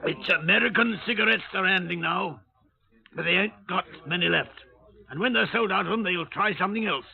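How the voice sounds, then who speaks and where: A man's voice: sits high, brisk, vocal fry. A man playing a chatty, deferential Cockney taxi driver and police informant, rambling along in 1950s radio drama style. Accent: London Cockney. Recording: muffled narrowband old radio recording.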